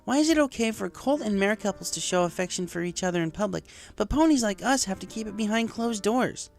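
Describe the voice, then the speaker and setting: A woman's voice: medium pitch, lively intonation, a little fast, creaky, a little mellow, pitched above the performer's own voice. A woman voicing a proud, assertive young mare pony who speaks in a defensive, argumentative way in a story. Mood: defiant, heated. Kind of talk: fan fiction character dialogue. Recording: music behind the voice.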